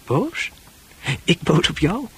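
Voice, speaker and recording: A man playing a shy, gentle teenage boy in a radio play, speaking softly and awkwardly with a breathy, husky voice. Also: a little high, big pitch swings, slow pace, full character voice. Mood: bashful, evasive. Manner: hesitant, soft-spoken, awkward. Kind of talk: intimate Dutch radio play acting.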